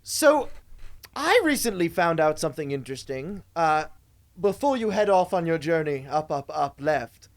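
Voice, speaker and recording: An actress playing a rambling, muddled fantasy guide in a semi-improvised comic audio drama, chatty and giggly. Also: female voice, low voice, very animated, moderate pace.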